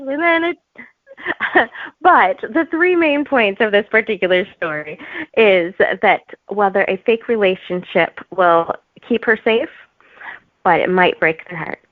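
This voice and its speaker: A woman talking as an author guest in a casual interview, with an informal, halting, giggly manner. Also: a little high, lively intonation, no echo, phone-quality sound.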